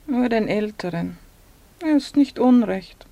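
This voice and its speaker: An actress playing a weary, melancholy woman worn down by war in a radio drama, hushed and listless. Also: a little high, animated, a little slow, muffled recording.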